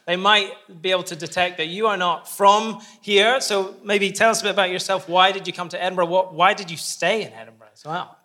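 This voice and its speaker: A man's voice: high-pitched, steady tone, a little fast, few pauses, sharp tone. A man leading a friendly interview in a church, enthusiastic and joking.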